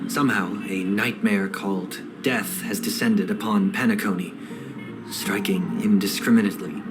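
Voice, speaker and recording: A solemn, righteous winged man from a video game, played by a male actor, speaks slowly and formally. His low voice carries measured, scriptural pronouncements, with clear ambience behind it. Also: noisy background, close to an everyday voice. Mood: grave concern. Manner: formal, measured, preachy with quotations. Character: solemn, righteous, winged brother of an elite family. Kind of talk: scripted dramatic video game cutscene dialogue.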